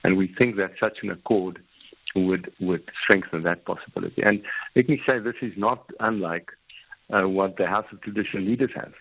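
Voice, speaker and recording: A man, a guest in a radio interview, talking haltingly and repeating words. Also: low-pitched, fairly even intonation, no room sound. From South Africa. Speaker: Afrikaner community guest. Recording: tinny phone line.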